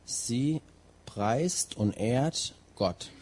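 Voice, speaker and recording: Husky guy translating a sermon line by line, sometimes hunting for words. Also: medium pitch, expressive, extremely slow, darkish tone. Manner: translates line by line, with some fillers and restarts. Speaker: interpreter. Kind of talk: sermon interpreting and scripture reading.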